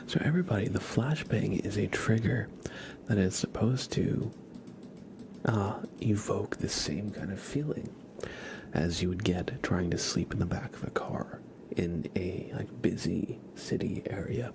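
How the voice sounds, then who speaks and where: A man hosting an ASMR livestream, speaking in a soft, soothing whisper and chatting gently to his viewers. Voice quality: whispered, breathy, with low creaky murmurs. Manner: soft, slow, soothing, greets viewers one by one.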